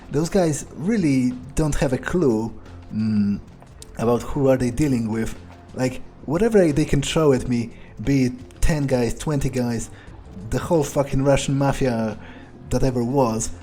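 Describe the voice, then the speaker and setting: Man playing an unhurried, aloof vampire, mellow voice. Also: mid-range voice, natural voice. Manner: halting, musing, deadpan. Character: aloof, arrogant male vampire, dryly amused and profane. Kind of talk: in-character video game playthrough, thinking aloud.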